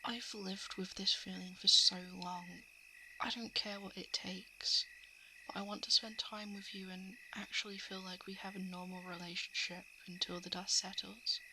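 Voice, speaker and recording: A woman playing a shapeshifting monster who poses as a lovelorn crush in an ASMR roleplay, speaking softly and hesitantly, often slipping into whispers. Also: mid-range voice, little pitch movement, normal speed, noisy background, lightly acted. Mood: tender coaxing. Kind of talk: ASMR roleplay, intimate confession. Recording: night insect and frog ambience. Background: crickets, frogs and insects.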